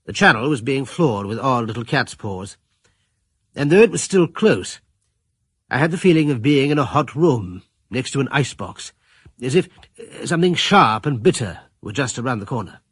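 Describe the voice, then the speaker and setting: An actor playing a grave, commanding middle-aged British gentleman adventurer, narrating a radio drama in measured, literary fashion. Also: medium pitch, animated, husky, no room sound. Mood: grave, tense.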